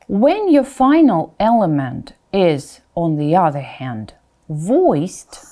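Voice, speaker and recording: Female language tutor, soft dark timbre, unhurried delivery, clear modelling of words with informal asides to learners. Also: on the low side, big pitch swings. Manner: instructional, repeats example words slowly and distinctly; informal asides, checks in with "right?". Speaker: Russian language teacher. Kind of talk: pronunciation lesson addressed to learners.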